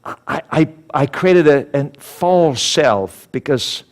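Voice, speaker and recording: Swedish-accented male speaker, slightly high-pitched, lively storyteller in a sermon. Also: slow pace. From Sweden. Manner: animated, acts out dialogue, repeats words.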